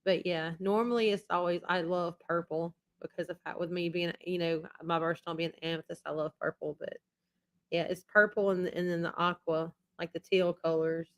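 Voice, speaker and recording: A woman hosting a relaxed live stream, her voice low-set and fairly level. She rambles and circles back over the same points. She is losing her voice after being sick, and it sounds a little worn. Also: normal speed. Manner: rambling and repetitive. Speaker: YouTube channel host. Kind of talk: casual live-stream chat.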